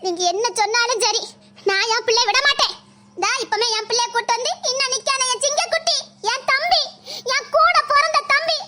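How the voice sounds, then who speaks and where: A woman's voice: very high voice, fairly even intonation, a little fast, background noise. A woman with a playing a scheming middle-aged village stepmother who wails, pleads and cries in melodramatic bursts. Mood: pleading. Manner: loud wailing, pleading, crying melodrama. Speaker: skit actor. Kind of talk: melodramatic village comedy skit.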